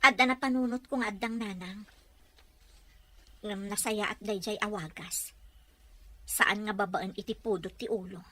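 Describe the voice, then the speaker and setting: A woman's voice: mid-range voice, fairly even intonation, normal speed, long pauses, bright, forceful tone, lightly acted. An actress playing a troubled young wife and mother in an audio drama, pleading in a restrained way. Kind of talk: Ilocano audio drama acting.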